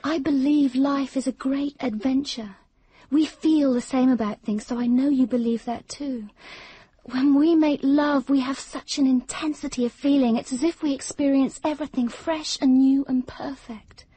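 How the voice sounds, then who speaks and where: A woman acting an intense young lover in a radio play. Her voice is high, soft and mellow, with a breathy edge. She speaks solemnly and insistently, full of dark romantic obsession, very close and dry. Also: steady tone, moderate pace, no echo, lightly acted, low-quality recording. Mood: ardent, earnest.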